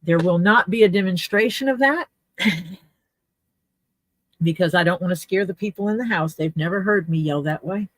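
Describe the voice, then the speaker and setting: A woman's voice: on the low side, normal speed, long pauses, a bit bright, dry recording. Chatty woman crafting on a dry mic. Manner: chatty, explains tools step by step while working. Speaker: leatherworker livestreaming her work. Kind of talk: casual livestream chat while crafting. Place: home workspace.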